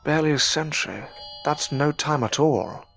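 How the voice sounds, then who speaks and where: A man's voice: fairly high pitch, moderate pace, gravelly, a bit bright, soft background music. A man playing a self-doubting novice magician, desperate to succeed, in an audio drama, with formal, old-fashioned phrasing. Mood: subdued. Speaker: voice actor in an amateur audio drama.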